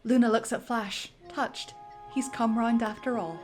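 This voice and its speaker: A woman's voice: fairly high pitch, unhurried, faint music behind. Woman narrating a script with flair. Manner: vivid storybook narration. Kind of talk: dramatic script reading.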